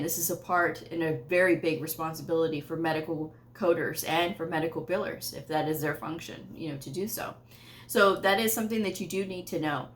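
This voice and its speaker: A woman in her thirties speaks straight to camera from a small home office, giving career advice in a slightly low voice. She is firm and emphatic, driving her points home by repeating them, with an occasional laugh. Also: a little hiss or hum. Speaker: medical coding educator.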